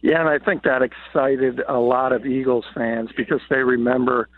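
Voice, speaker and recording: A slightly hoarse man, an NFL columnist calling in, gives confident, opinionated analysis with many "uh" fillers. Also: mid-range voice, little pitch movement, normal speed. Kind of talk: sports radio analysis by phone. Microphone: phone. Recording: tinny dry phone line.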